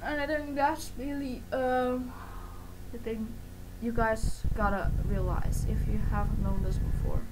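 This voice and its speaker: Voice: mid-range voice, fairly even intonation, a little slow. A young adult vlogger talking casually and personally to camera in a rambling, self-correcting, informal way. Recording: noticeable background noise.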